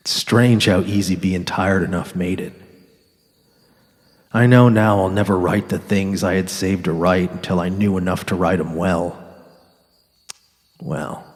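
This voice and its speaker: A man's voice: low-pitched, a little mellow, big-room sound. A man playing a weary, sardonic dying writer on safari in a dramatic literary reading. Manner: sardonic, resigned, quarrelsome.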